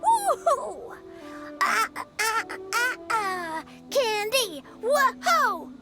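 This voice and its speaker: A woman voicing an excitable, playful little village child in a video game. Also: very high voice, drawn-out words, faint music behind, exaggerated voice. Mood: excited. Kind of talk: video game cutscene voice acting.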